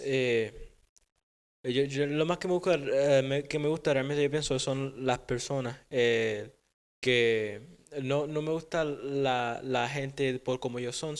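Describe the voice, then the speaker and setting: A teenage male podcast guest with a Puerto Rican accent, halting as he searches for words. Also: medium pitch. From Puerto Rico. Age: teens, sixteen.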